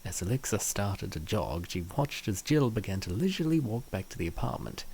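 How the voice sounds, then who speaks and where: Male comic-dub narrator, lowish pitch, animated delivery, some noise behind the voice. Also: a little fast, gravelly. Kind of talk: scripted story narration.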